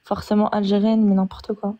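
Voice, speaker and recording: A woman's voice: medium pitch, little pitch movement, brisk, lo-fi sound. Direct woman with a Paris suburban accent. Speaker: guest on a live stream. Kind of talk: casual live-stream chat.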